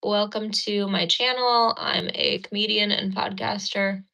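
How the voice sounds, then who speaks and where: A woman's voice: mid-range voice, fairly even intonation, measured pace, creaky, sharp tone, rough recording. A woman hosting a casual livestream, chatting with viewers and laughing while she does her makeup, recorded on a phone. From Ukraine. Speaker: livestream host. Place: indoors at a mirror.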